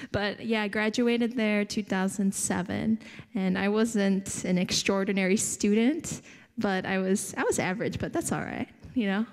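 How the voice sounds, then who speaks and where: Giggly young woman from Hawaii in a reverberant hall. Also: mid-range voice. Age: young adult. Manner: friendly, giggly, relaxed. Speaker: guest speaker. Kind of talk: casual talk to an audience. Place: large theater stage, seated. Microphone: handheld microphone. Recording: long hall reverb from a theater.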